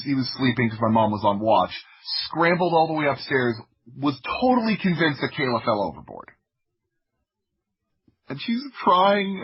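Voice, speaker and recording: A man from the United States telling personal stories casually as a podcast guest, in an informal, humorous way with a husky voice. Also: medium pitch, dull audio. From United States (Florida). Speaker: podcast guest, audio drama creator.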